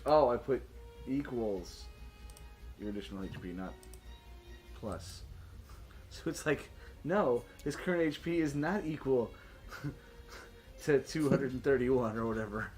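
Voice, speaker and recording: A man's voice: medium pitch, lively intonation, unhurried, pauses often, a little mellow. A man runs a tabletop game in casual stream chat, with music playing behind him. He sounds informal and jokey as he talks through rules and numbers in an ordinary mid-range voice. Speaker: game master and stream host.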